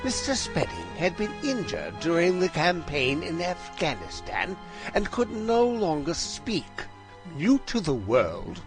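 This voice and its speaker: Male actor for brilliant Victorian detective, audio drama: highish, very animated, unhurried and precise, self-assured. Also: music in the background, exaggerated voice. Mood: self-assured, explanatory. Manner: theatrical, precise, formal, explanatory.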